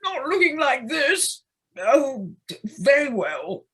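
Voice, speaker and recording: A woman's voice: sits high, big pitch swings, slow, a bit bright, exaggerated voice. A woman playing a self-pitying, indignant, vain male toad with melodramatic bluster in an amateur audio play. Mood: huffy.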